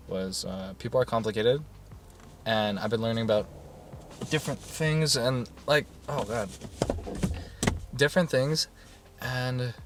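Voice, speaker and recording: A man talking in a soft, soothing voice in a casual rambling monologue, full of fillers and restarts. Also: fairly low pitch. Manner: soft-spoken, meandering, many fillers and restarts. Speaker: YouTuber.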